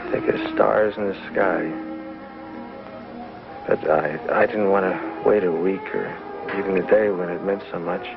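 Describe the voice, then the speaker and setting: A gentle injured husband in an old TV western. His voice is low and creaky, and he speaks haltingly and earnestly. The recording is dull, with music underneath. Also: steady tone. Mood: weak, tender. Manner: halting, soft-hearted. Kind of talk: 1950s television western acting. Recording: muffled 1950s TV soundtrack with music.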